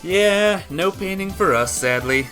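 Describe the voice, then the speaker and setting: A woman's voice: on the low side, expressive, measured pace, fairly bright tone. A woman game streamer reading a visual novel aloud and chatting casually with viewers. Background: music.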